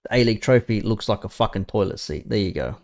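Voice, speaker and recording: A man's voice: on the low side, lively intonation, fast talker, a little mellow. A man, chatting casually and bluntly about football to his viewers. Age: 30s. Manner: blunt, sweary, mocking. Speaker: football streamer. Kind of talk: casual live chat.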